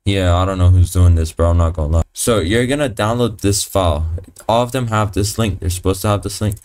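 A young man with a fairly low voice walks through a software tutorial. He keeps it casual and slangy, swearing now and then. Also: brisk. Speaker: tutorial presenter. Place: voice-over on a computer screen recording.

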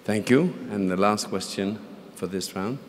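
A man's voice: fairly low pitch, unhurried, slight background noise. A man moderating a conference session, brief and polite, speaking at a podium mic. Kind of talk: moderating questions. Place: large conference hall. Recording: reverberant conference hall.

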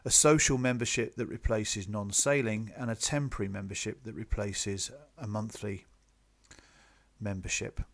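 A man presenting club business to members in a measured, businesslike, explanatory way, partly from a script. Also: fairly low pitch. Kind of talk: slide presentation, semi-scripted explanatory talk.

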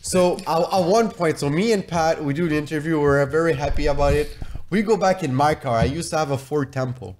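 A man's voice: on the high side, a little fast, darkish tone. A man co-hosting a podcast, telling an anecdote casually in a rambling way and repeating himself. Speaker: podcast co-host.

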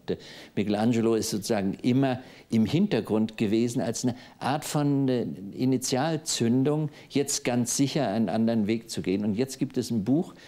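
A man in his sixties with a low, warm, mellow voice hosts a literary discussion on TV. He talks in a thoughtful, wandering way, pausing with frequent hesitations. He is heard in a studio before a live audience. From East Germany. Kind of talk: televised panel discussion.

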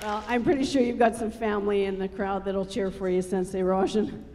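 A woman's voice: mid-range voice, flat delivery, brisk, crowd noise, background noise. A middle-aged woman, a martial arts instructor, speaking into a handheld microphone to an audience as she presents a live demonstration on a theatre stage. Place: large theatre stage, indoors.

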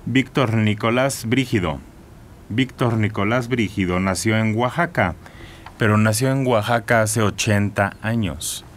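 A middle-aged man with a fairly low voice hosts a radio show from a small padded studio. He talks into a desk microphone. He jokes and laughs easily. A faint background hiss sits under his voice. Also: a little slow. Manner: lively, joking, laughs often. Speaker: radio host. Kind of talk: radio news chat.

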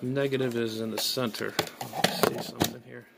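A man's voice: medium pitch, little pitch movement, moderate pace, creaky. Man muttering casually over background noise. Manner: hesitant, muttering, thinking aloud. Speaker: amateur radio hobbyist vlogger. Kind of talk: casual unscripted gadget unboxing commentary. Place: small bedroom, indoors. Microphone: phone at arm's length. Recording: noisy phone recording with room sound.

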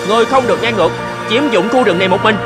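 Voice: mid-range voice, racing, loud music behind the voice, close to an everyday voice. An actor voicing a bold young male woodcutter who firmly stands up to a giant in a fairy-tale cartoon. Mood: stern, assertive. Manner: firm, commanding.

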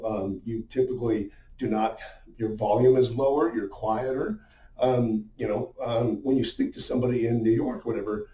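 A man's voice: mid-range voice, warm tone. A middle-aged man giving an informal, conversational lesson as a sales coach, explaining things with frequent asides. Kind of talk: informal instructional talk at a whiteboard. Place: small indoor room with a whiteboard. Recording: roomy, muffled, distant-sounding echo.

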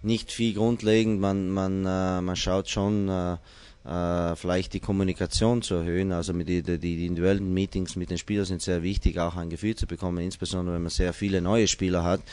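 A middle-aged man with a very low voice speaks in a measured, halting way, pausing often on fillers. He is a coach being interviewed in front of a sponsor wall, talking into a handheld microphone held close. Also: flat delivery, a little mellow, clean tone. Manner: measured, hesitant with many fillers. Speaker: head coach. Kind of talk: post-training interview. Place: indoor press wall, small room. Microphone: handheld mic held by interviewer.

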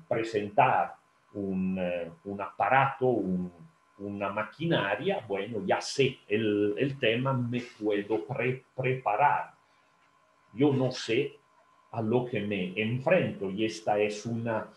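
A man's voice: fairly low pitch, slight background noise. A man whose first language is Italian, giving a talk to students over a video call, hesitant and full of fillers, often asking the audience questions. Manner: hesitant, many fillers and restarts, asks the audience. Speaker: telephone interpreter giving a talk. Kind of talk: lecture to students. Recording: roomy video call audio.

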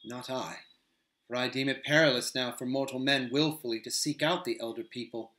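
A man voicing a grave, noble male captain of men who speaks slowly and softly. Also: mid-range voice, steady tone, natural voice. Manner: slow, soft, measured. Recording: roomy echo.

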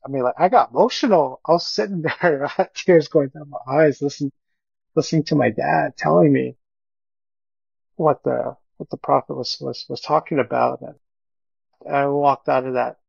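A middle-aged man with a gravelly, creaky voice gives a personal testimony as a podcast guest. He speaks earnestly and haltingly, circling back over his phrases. The recording is dry and close, with a slightly muffled top end. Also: medium pitch, no room sound.

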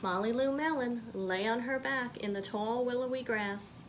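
A woman in her thirties, a children's librarian, reading a picture book aloud expressively with clear phrasing in a small room. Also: on the high side, moderate pace, background noise. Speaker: children's librarian, storytime reader.

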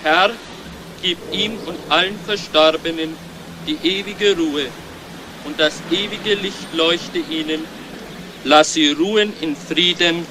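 A solemn graveside priest, reciting with slow, measured delivery. The voice is a little high for a man and sits in clear noise on an old radio play recording. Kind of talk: liturgical recitation in a radio drama.

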